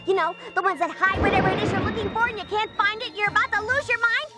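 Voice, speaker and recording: A sassy squeaky cartoon girl. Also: fairly even intonation, fast talker, over loud music.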